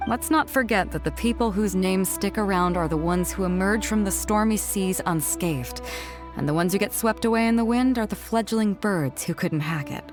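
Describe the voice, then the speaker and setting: A woman's voice: medium pitch, moderate pace, close to an everyday voice. A woman voicing a bold, proud female ship captain in a video game, confident and teasing, with a theatrical delivery. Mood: earnest, rousing. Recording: music under the voice. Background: background music.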